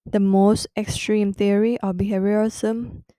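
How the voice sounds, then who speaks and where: A woman with a Thai accent explains a psychology lesson slowly and carefully, pausing often as she finds her words in her second language. Her tone is soft and mellow. The recording is dry and close. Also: medium pitch, little pitch movement, smooth, no room sound. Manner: careful, halting second-language delivery with restarts. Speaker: podcast host. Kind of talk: educational podcast explanation.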